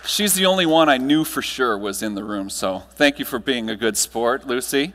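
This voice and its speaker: A man giving a motivational keynote to a live audience, telling stories with dramatic pauses and emphatic delivery. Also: mid-range voice, animated, a bit bright, a faint crowd, a little hiss or hum. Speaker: keynote speaker. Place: large room, live audience, amplified. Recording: echoey hall, long reverb.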